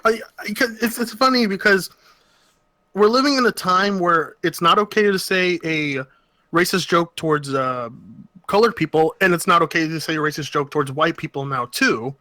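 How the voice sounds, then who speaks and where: High bright-voiced man rambling. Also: normal speed, no echo. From Oregon. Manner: rambling, self-interrupting, laughs a lot. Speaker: podcast co-host. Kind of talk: casual podcast chat.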